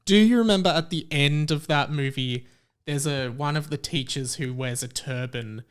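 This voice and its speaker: A man's voice: on the high side, normal speed, clean tone. A man hosting a podcast in a casual, comedic chat, often breaking into laughter. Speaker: podcast host.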